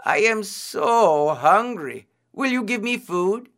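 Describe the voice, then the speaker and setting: A man voicing a storybook god disguised as a hungry priest, drawn-out and declamatory. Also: mid-range voice, expressive, creaky, sharp tone, exaggerated voice. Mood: pleading, calling.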